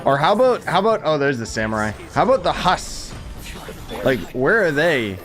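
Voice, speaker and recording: A man's voice: on the high side, very animated, moderate pace, a bit bright, faint music behind. A young man giving casual, joking, sarcastic commentary while playing a video game. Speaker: gaming commentator. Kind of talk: casual let's-play commentary.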